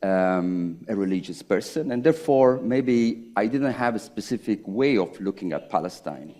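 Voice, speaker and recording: Panel speaker: middle-aged man, slightly low pitch, halting and thoughtful, lots of pauses. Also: lively intonation, dark tone. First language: Italian. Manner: halting, hesitant, with many pauses and restarts. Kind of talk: reflective public conversation.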